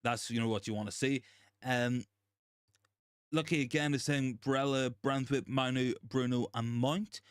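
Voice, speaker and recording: A young man, hoarse and gravelly from a recent virus, hosting a casual live chat and reading out viewer comments, with many ums and repeated phrases. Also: medium pitch, steady tone, moderate pace, dry recording, studio quality. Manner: repeats himself, frequent ums and restarts. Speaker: livestream host.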